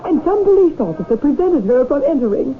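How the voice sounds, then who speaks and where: An actress playing an earnest, anxious wife, pleading articulately and insistently in a 1970s radio drama. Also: female voice, sits high, very animated, fairly quick. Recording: tinny 1970s radio broadcast.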